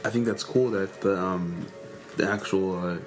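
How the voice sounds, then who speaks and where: A man co-hosting a podcast, chatting casually and haltingly with frequent pauses. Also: low-pitched, music in the background. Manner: halting, lots of um and uh. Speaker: podcast co-host.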